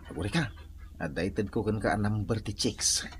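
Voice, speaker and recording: A man's voice: on the low side, moderate pace, slight background noise, close to an everyday voice. A man acting in a comedic radio drama as a teasing, girl-chasing buddy, joshing, chuckling and exclaiming. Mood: mischievous. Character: teasing, girl-chasing buddy who loves to joke around.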